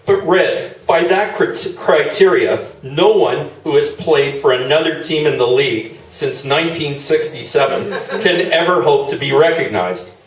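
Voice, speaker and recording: A man's voice: fairly high pitch, measured pace, natural voice. Man acting a dry sardonic interviewer, echoey room. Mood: challenging. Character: skeptical, needling male sports interviewer. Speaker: radio play actor. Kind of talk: comic radio play performed live on stage. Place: small theatre, live performance. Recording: echoey theatre, distant mic, noisy.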